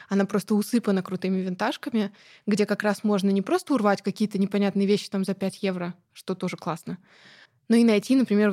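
A woman with a mid-range voice talks at length as an interview guest. She speaks chattily and freely, with frequent fillers and easy laughs. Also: mid-range voice. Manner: chatty and animated, with many fillers and light laughs. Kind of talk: casual conversational interview.

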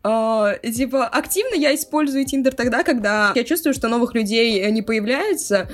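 High-voiced young woman, casual podcast chat. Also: moderate pace. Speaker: podcast co-host.

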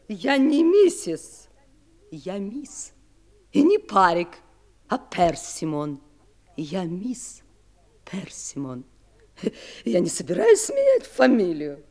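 Huffy prickly spinster, dark tone, hugely varied pitch, slow indignant muttering. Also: female voice, exaggerated voice. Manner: indignant, muttering, drawn-out emphatic delivery. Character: huffy, prickly unmarried lady, easily offended. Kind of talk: theatrical 1960s audio play acting.

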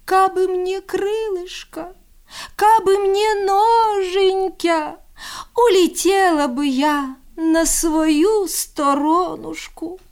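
A woman voicing a crippled, lamenting bird in a drawn-out, sing-song wail for a folk tale. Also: very high voice, darkish tone, pitched above the performer's own voice, full character voice. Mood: plaintive lament. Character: crippled bird with one wing, lamenting plaintively.

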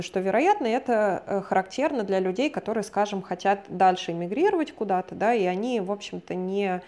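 A woman from Russia, a guest expert, explaining ideas in a measured, lecturing way and often hedging her words as she talks. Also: medium pitch, a little mellow. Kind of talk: explanatory conversation.

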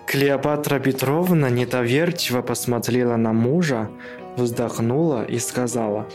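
A man's voice: medium pitch, unhurried, a little mellow, faint music behind. A man who is a native Chinese speaker, reading scripted narration for a radio play.

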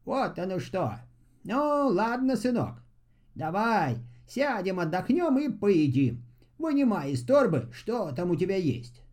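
A gentle old grey-haired helper from a storybook, acted out by a man. His voice sits high and swings expressively, with a soft tone and folksy, guiding manner. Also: very animated, measured pace. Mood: kindly. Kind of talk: storybook fairy-tale voice acting.

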